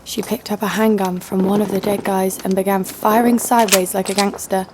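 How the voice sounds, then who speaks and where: A woman's voice: mid-range voice, level tone, fairly quick, very noisy, natural voice. A woman playing a tough, cynical bounty hunter who narrates her exploits in an audio drama, sardonic and cool. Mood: wry. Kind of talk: first-person scripted drama narration. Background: music.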